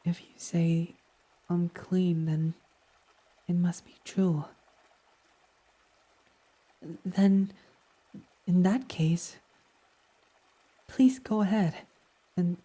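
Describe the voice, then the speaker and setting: A man voicing a devoted, submissive fox boy servant in an intimate ASMR roleplay, reverent, hesitant and breathy. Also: sits high, fairly even intonation, slow pace, long pauses, heavily acted. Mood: deferential. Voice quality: soft, breathy. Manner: reverent, self-deprecating, hesitant, breathy half-whispers.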